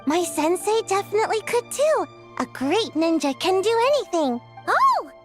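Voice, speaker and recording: A woman voicing a playful, chatty little girl in an animated video game style. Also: very high-pitched, normal speed, loud music behind the voice. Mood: cheerful, boastful. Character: excitable little girls, childlike, playful and chatty.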